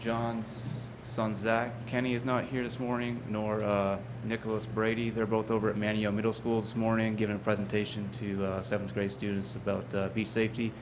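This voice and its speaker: A man with a lowish voice gives informal remarks at a town board meeting, introducing his lifeguards and filling pauses with "uh." The recording comes from a meeting chamber with steady background noise. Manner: relaxed, with frequent "uh" pauses. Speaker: ocean rescue supervisor introducing lifeguards.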